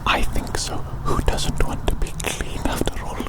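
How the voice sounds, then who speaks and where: Whispering ASMR roleplayer. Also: moderate pace. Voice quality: breathy unvoiced whisper. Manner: gentle, repetitive, addressing listener as a little creature.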